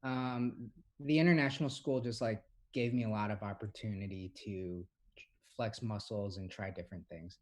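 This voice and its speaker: A man's voice: on the low side, fairly even intonation, normal speed, a little mellow. Rambling young man telling stories. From Hong Kong. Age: young adult. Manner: rambling storytelling with many fillers and restarts. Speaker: podcast co-host. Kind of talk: casual chat.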